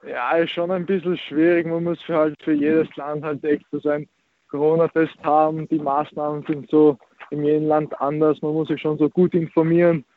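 A man's voice: fairly high pitch, little pitch movement, moderate pace. A nineteen-year-old man from Austria, a young tennis player, answering interview questions hesitantly and repeating filler words. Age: teens. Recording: tinny phone-like line.

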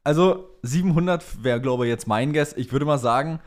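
A man's voice: fairly high pitch, moderate pace. A young man co-hosting a podcast in casual chat, using slangy talk peppered with English words. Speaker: podcast co-host.

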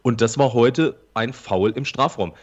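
A man on a podcast panel talking about football in a measured, matter-of-fact way. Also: medium pitch. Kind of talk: casual football discussion. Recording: dull lo-fi microphone sound.

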